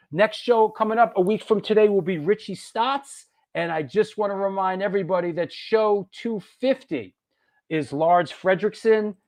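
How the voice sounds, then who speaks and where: A man hosting a live show, chatting loosely and reading out upcoming gigs and listener names. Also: high-pitched. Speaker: podcast host. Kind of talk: casual live-stream chat.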